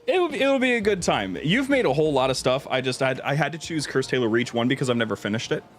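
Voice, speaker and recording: A man's voice: mid-range voice, animated, quick pace, fairly bright tone. A man hosting a livestream, chatting casually and jokingly, with a tendency to laugh. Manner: jokey, breaks into laughter often. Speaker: livestream host. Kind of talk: casual chat over gameplay stream.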